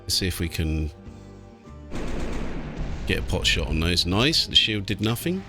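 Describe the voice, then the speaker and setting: A man's voice: sits low, very animated, measured pace. A British man giving casual, chatty let's play commentary on a strategy game, thinking aloud as he goes. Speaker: gaming channel host. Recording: game music and battle sounds behind. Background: video game music, artillery and vehicle sounds.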